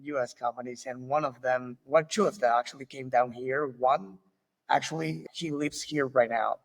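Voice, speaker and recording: A male podcast host from Argentina speaks English with an Argentine Spanish accent, friendly and a little halting. His voice has a gravelly edge. The recording carries a long, hall-like reverb. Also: mid-range voice, steady tone. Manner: friendly, halting with small restarts. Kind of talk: casual interview.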